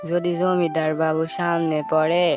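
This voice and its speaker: A man's voice: on the high side, completely flat delivery, a little slow, dull audio, over music, natural voice. A man playing a poor village farmer in a cartoon, a humble, anxious father with a plaintive manner. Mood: ashamed. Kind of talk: Bengali cartoon voice acting.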